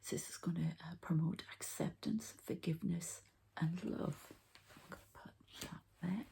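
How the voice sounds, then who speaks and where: A woman doing a whispered ASMR card reading as an energy healer, in a hushed, breathy, creaky voice, unhurried and murmuring to the listener. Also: on the low side, fairly even intonation.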